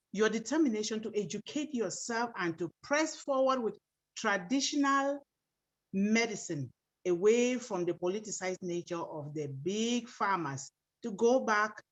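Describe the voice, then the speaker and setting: A woman from Cameroon tells a story on a video call in an earnest, grateful way. Her voice sits in the middle of the pitch range. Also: poor audio.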